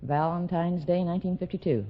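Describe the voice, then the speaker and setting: A man's voice: high voice, fairly quick. A man giving short answers as a quiz show contestant. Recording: muffled radio recording, very noisy.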